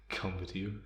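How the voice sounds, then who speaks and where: A man playing a bitter, guarded, centuries-old vampire in a slow ASMR roleplay monologue with measured pauses. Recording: roomy, echoing sound.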